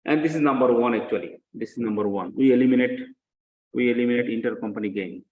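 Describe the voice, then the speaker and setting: A man's voice: mid-range voice, quick pace, darkish tone. A man giving a lecture as he works through an example step by step, repeating phrases and checking understanding with short questions. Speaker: accounting lecturer. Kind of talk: teaching lecture with worked example. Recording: echoey room, dull muffled sound.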